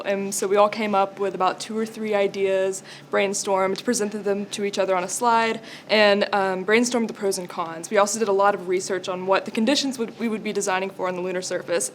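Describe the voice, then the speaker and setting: Young woman, cheerful student, mid-pitched, faint ambience. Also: slight background noise. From United States. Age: young adult. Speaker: engineering student. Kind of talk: casual explanation.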